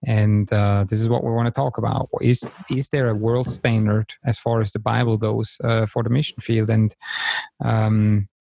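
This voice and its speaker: A man from Switzerland with a Swiss German accent, a non-native English speaker hosting a missionary podcast in a halting, hesitant way. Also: lowish voice, flat delivery, vocal fry, mellow, no echo. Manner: hesitant, halting phrasing with fillers. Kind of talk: casual podcast conversation.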